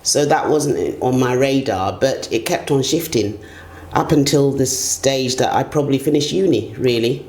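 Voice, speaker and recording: A woman's voice: rumbling low, moderate pace, a little mellow. A middle-aged woman, giving reflective, conversational answers as an interview guest. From Ghana and the UK. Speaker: interview guest, senior HR executive. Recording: roomy echo, clear background noise.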